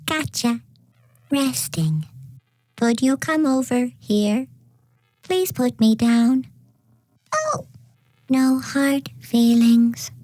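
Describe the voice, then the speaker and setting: A woman voicing a childlike, polite robot gun turret from a video game, soft, innocent and sweetly menacing. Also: sits high, sing-song, extremely slow, long pauses, no echo, heavily acted. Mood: meek, pained. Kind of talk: short video game voice lines.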